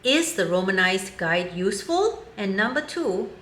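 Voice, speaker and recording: A woman speaks at a middle pitch, candid and wry as she lays out her opinion. Her voice sounds roomy, with a noticeable echo around it. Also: big pitch swings, a little slow, a bit bright. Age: 50s. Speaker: vlogger. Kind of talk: opinion commentary vlog.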